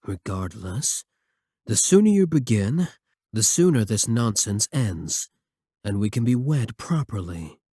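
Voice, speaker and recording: Man voicing a slow, husky, devoted vampire king. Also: lowish voice, very animated, dry recording, clean studio sound, exaggerated voice. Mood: solemn, loving. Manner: measured, formal, gently intimate. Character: devoted, courtly vampire king, tender and formal. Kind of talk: romantic ASMR roleplay.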